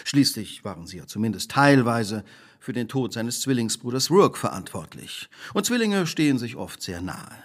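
An actor playing a shrewd, self-assured detective who speaks precisely and probingly in a radio play. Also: male voice, mid-range voice, sing-song, moderate pace, husky. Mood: probing, composed. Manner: precise, probing, imperious deduction. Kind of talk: dramatic radio play dialogue.

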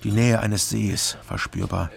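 An actor playing a sober private detective who narrates his captivity in a radio drama, measured and reflective. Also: on the low side, steady tone, gravelly, slight background noise. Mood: sober, brooding. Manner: measured, reflective, in short plain phrases.

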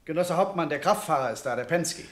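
A man's voice: a little high, animated, a little fast. An actor playing a dutiful junior policeman, reporting item by item in a German radio crime drama. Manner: matter-of-fact, itemising report.

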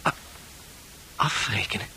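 A man's voice: a little slow, pushed and bright. An actor in a Dutch radio play, playing a naive, heartbroken young man who is shy and stammers hesitantly. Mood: shy, confused. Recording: radio play with music behind.

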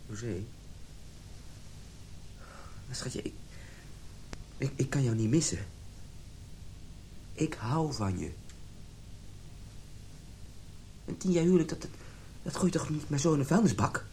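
A man acts out a jealous, wounded husband in middle age for a radio play. He is domineering and agitated, and his pitch moves widely. The recording carries clear low noise and rumble. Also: expressive, moderate pace, long pauses, mellow, natural voice. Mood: pleading. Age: middle-aged. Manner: agitated, stammering when upset. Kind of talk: radio drama acting.

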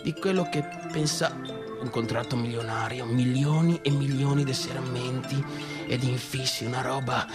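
An actor playing a cocky, rambling son of a provincial businessman in a radio theatre monologue, with a colloquial northeastern Italian accent and a repetitive, excitable delivery. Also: mid-range voice, normal speed, loud music behind the voice, close to an everyday voice. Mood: boastful. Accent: northeastern Italian (Veneto). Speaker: stage actor performing a monologue.

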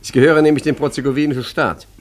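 An actor in a German radio play playing an urbane, evasive art forger who talks hesitantly with polite irony. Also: male voice, medium pitch, steady tone, fast talker, lightly acted. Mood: flustered, wry. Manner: hesitant, stammering, politely ironic.

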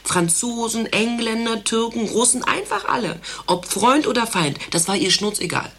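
Husky, devoted doctor's receptionist. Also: female voice, on the high side, expressive, normal speed, a bit bright, full character voice. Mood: earnest, admiring. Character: devoted, pompous doctor's receptionist who idolises Florence Nightingale. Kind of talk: German satirical radio play acting.

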